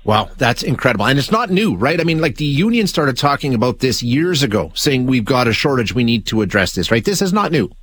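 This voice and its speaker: Mid-pitched male radio interviewer. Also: sing-song, fairly quick, few pauses, fairly bright tone, husky.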